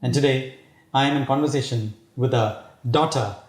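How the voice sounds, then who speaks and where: A man hosting an interview show, delivering a scripted introduction and questions in a formal, measured way. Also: mid-range voice. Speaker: interview host. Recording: roomy echo.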